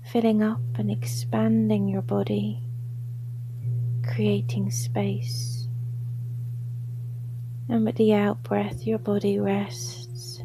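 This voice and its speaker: A woman leads a restful guided meditation in a soft, breathy near-whisper. Her delivery is very slow and very even, gentle and soothing. Gongs and singing bowls hum faintly behind her voice. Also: mid-range voice. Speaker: yoga nidra guide.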